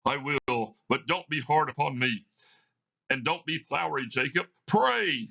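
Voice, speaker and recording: A man's voice: a little high, unhurried, vocal fry, bright, forceful tone, slightly rough, highs cut off, pitched above the performer's own voice, exaggerated voice. A man voicing a frightened old miser, nervous, hesitant and pleading, in a theatrical reading of a play. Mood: pleading, uneasy.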